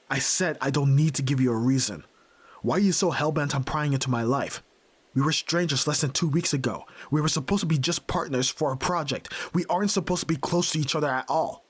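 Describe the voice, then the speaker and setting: A man's voice: medium pitch, husky, natural voice. A young man playing a brusque, tormented nerd who is a reluctant yakuza heir, in a dramatic audio roleplay, speaking huskily and curtly. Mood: desperate, angry. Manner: brooding, curt, pushing the listener away.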